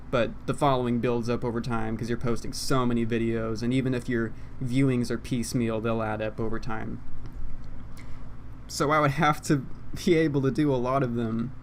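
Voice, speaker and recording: A young man talking casually into a condenser mic at his computer, rambling in a self-deprecating way with lots of fillers. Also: mid-range voice. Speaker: YouTuber. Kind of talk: unscripted rambling monologue. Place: home room, seated at computer. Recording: faint background noise.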